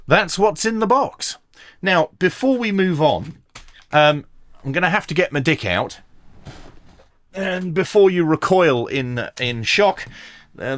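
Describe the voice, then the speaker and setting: A man's voice: fairly high pitch, a bit bright. A man giving a casual, explanatory product review in a conversational, understated way. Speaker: radio equipment reviewer.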